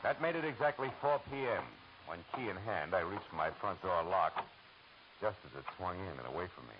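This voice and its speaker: An actor playing a wry, hard-boiled private detective in a 1940s radio noir drama, sardonic, clipped and full of wisecracks. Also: male voice, low-pitched, expressive, fairly quick. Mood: dry, storytelling. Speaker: lead actor and narrator. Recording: hissy, muffled old radio recording.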